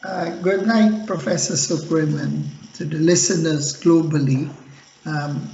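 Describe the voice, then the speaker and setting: A man's voice: fairly high pitch, steady tone, a little slow, gravelly, warm tone. A man from Guyana, a guest on a radio panel, giving an earnest, speechlike account of his background. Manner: earnest, speechmaking self-introduction. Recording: echoey, dull lo-fi line.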